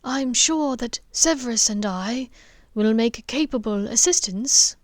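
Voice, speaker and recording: A thoughtful, curious male wizard speaks calmly and inquiringly, read by a woman. The pitch is fairly high and the pace slow. The recording is dry and close. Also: no room sound, close to an everyday voice.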